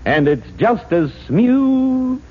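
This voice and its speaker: Casting: male 1940s radio ad spokesman, punchy slogans, extremely animated and husky, dull old recording. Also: very high voice, very slow. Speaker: commercial spokesman. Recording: muffled old radio broadcast.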